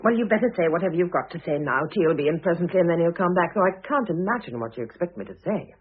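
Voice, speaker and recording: An actress playing a shrewd, wryly amused middle-aged divorced mother in a radio drama, poised and dryly teasing, with a husky voice. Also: on the low side, animated, racing. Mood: composed. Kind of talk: old radio drama acting. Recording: tinny, narrow old radio recording.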